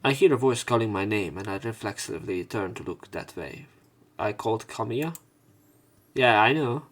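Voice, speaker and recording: A man's voice: fairly low pitch, expressive, normal speed. A man reading visual novel narration aloud as a let's player, with casual commentary. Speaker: let's play streamer.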